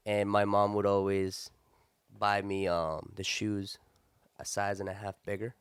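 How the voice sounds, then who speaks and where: Low smooth-voiced man. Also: flat delivery, unhurried, no room sound, studio quality. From Colombia. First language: Spanish. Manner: earnest, reflective storytelling. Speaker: podcast host. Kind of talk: casual interview chat.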